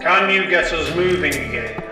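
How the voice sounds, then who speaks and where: An actor playing a brisk, commanding male spaceship captain in an audio drama. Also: a little high. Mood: urgent. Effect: intercom. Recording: very echoey, music underneath.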